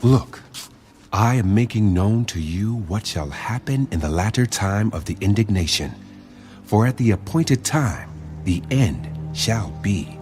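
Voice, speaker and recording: A man's voice: on the low side, a little mellow. A man playing a solemn, commanding angel revealing a prophecy in a dramatised scripture audiobook, speaking in a grave, declamatory manner.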